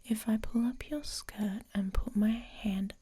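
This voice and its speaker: A woman playing a dominant, teasing female werewolf in an intimate ASMR audio roleplay, with a breathy, whispery voice and a commanding manner. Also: on the high side, a little slow, warm tone. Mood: seductive, commanding.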